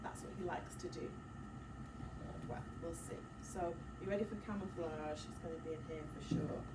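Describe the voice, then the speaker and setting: A woman's voice: sits low, fairly even intonation, moderate pace. A woman primary school teacher leading an interactive story-time lesson, asking questions and explaining things simply to young children. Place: echoey classroom with children. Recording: noisy echoey room, distant mic.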